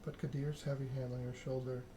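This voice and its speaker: A man softly reading a story game aloud in a hushed, measured ASMR narration. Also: mid-range voice, level tone. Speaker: video game let's-player reading the game aloud. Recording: clear background noise.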